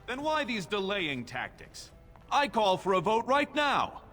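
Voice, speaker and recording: An actor playing a proud, impatient dwarf prince in a video game, commanding and declamatory. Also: male voice, sits high, very animated, a little slow, pushed and bright, faint music behind, heavily acted. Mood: indignant demanding. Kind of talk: video game character acting.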